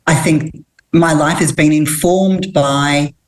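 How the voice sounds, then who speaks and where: A middle-aged Australian woman, a women's coach, answering interview questions in a thoughtful, earnest way with pauses and restarts. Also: low voice, fairly even intonation.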